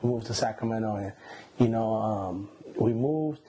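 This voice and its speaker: A man in his twenties from Iran, a guest telling his story in a relaxed, slangy way with many fillers, seated indoors on a lapel mic. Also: on the low side, moderate pace, breathy edge. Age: 20s. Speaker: interview guest. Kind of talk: casual interview. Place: indoor room, seated on a couch.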